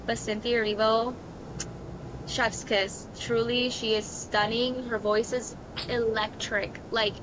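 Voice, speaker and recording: A woman's voice: fairly high pitch, level tone, slow pace, highs cut off, noisy background. A woman with an American accent talking casually as a podcast co-host. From Miami, Florida. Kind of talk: casual chat.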